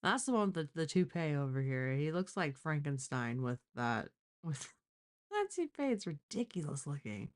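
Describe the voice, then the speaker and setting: Casual, blunt woman streamer with lowish voice. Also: sing-song, normal speed. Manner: blunt, exclamatory, mocking. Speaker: live stream host reacting to a crime case. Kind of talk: casual reaction commentary.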